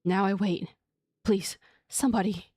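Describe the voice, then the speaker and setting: A woman's voice: medium pitch, fairly even intonation, measured pace, dark tone, husky, dry recording, studio quality. A woman voicing an earnest, puzzled young woman who thinks aloud, reading dialogue from a mystery game. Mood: earnest, determined.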